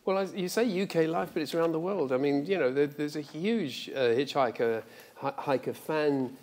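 A man's voice: fairly high pitch, moderate pace, darkish tone. A middle-aged man speaking reflectively and emphatically as a guest in an on-stage conversation. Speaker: guest, audio drama director. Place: large lecture theatre stage.

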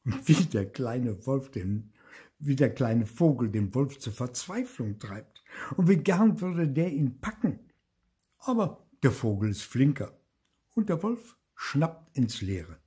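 An older man reading a fairy tale aloud in an unhurried, expressive way. His husky, breathy voice rises and falls vividly and sinks to a whisper at tense moments. He sits close to a phone or webcam in a book-lined study. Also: medium pitch. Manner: expressive, slow, whispers suspense. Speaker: storyteller. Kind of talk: children's story reading. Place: indoor study with bookshelves.

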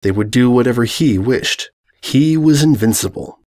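A male narrator with a mid-pitched voice reads a comic fan story from a script. His delivery is dry and wry throughout. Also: expressive, normal speed, a little mellow.

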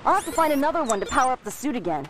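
A woman playing a brisk, capable teenage girl heroine in a cartoon game. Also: sits high, sing-song. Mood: matter-of-fact. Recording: noisy game sound effects behind.

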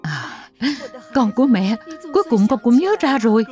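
A woman's voice: on the high side, sing-song, normal speed, warm tone, soft background music. A woman playing a tender, anxious elderly grandmother in a dubbed children's cartoon. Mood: moved, tender. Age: older.

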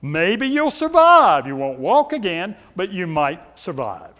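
Loud, animated middle-aged preacher. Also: male voice, sits high, slow. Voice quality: loud, carrying voice. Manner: animated preaching, pacing and gesturing, rhetorical questions. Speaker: pastor. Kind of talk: sermon. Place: church sanctuary, indoors. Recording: reverberant church sanctuary.

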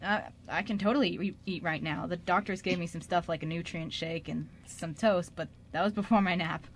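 A woman's voice: on the low side, brisk, a little hiss or hum, natural voice. A woman acting a sweet, grateful, eager man in a radio drama, earnest and prone to stammering. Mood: excited, grateful.

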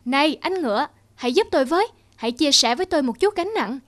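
A woman's voice: high-pitched, animated, fast talker, a bit bright. A woman voicing a meek, exhausted donkey in a cartoon, pleading wearily. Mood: weary pleading. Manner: pleading, weary. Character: meek, exhausted, overloaded donkey begging for help. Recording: music under the voice.

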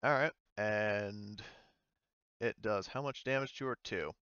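A man's voice: lowish voice, a little slow, sharp tone, smooth, dry recording, muffled recording. A man running an online tabletop game as game master, talking casually and hesitantly as he thinks aloud.

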